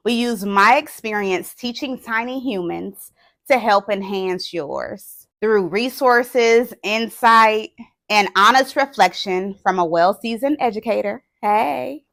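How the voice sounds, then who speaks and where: A woman speaks as the host of an educational talk for parents and teachers. Her voice sits at a medium pitch, and she delivers her points with expressive, emphatic phrasing like a practiced teacher. The recording is very dry, with hardly any room sound. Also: no room sound. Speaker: host and experienced early-years educator.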